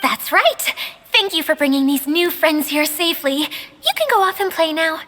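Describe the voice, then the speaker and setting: A woman's voice: sits high, lively intonation, background noise. A woman voicing a flustered, self-mocking young female domain keeper, dramatic in a video game cutscene. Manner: dramatic, quick swings into embarrassed panic. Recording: game dialogue over music.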